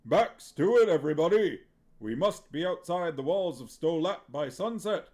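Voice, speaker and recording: A man's voice: medium pitch, a little slow, a little mellow, lightly acted. A man reading aloud, voicing a pompous middle-aged male actor-manager who declaims theatrically. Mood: hearty, commanding.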